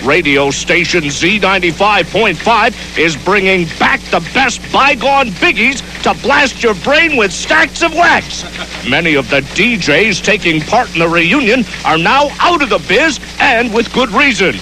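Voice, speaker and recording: A man's voice: sits high, lively intonation, measured pace, noisy background. A man working as a radio news reporter, reading a playful scripted feature in a theatrical manner.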